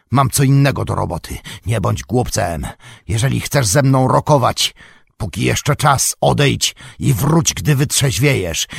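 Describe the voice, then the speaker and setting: A man voicing a proud, scornful old male wizard who mocks and shouts. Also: mid-range voice, vocal fry, slightly rough, no echo, studio quality, pitched above the performer's own voice, heavily acted. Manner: mocking, contemptuous, shouting. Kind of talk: audiobook character reading.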